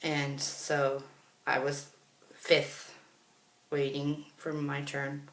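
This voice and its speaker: A man's voice: fairly high pitch, monotone, extremely slow, fairly bright tone. A man telling a personal story in a casual, chatty vlog style, acting out what other people said as he goes. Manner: chatty, reenacts others' words. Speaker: vlogger. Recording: roomy, echoey room sound.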